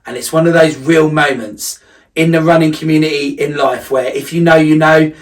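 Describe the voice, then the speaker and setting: A man's voice: on the high side, fairly even intonation, moderate pace, far from the mic. A man giving a casual vlog recap as a running coach, talking in a reflective, emphatic and conversational way.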